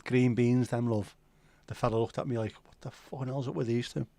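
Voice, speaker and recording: A man's voice: mid-range voice, steady tone, fairly quick, mellow. A middle-aged man from Liverpool with a Scouse accent, chatting casually and jokily on a livestream as host, swearing freely as he answers viewers. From Liverpool, England. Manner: banter-filled, sweary, jokey. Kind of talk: casual livestream chat reading viewer comments.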